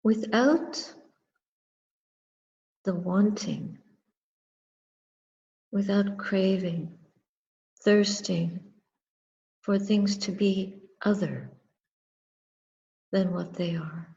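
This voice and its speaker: A woman leads a meditative dharma reflection at an extremely slow pace. Her voice is dark and soft-edged, and she speaks in short, measured phrases separated by long pauses. The recording carries a long, hall-like reverb. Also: mid-range voice, steady tone, gravelly, lo-fi sound. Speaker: Buddhist nun and meditation teacher. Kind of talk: guided meditation and dharma talk.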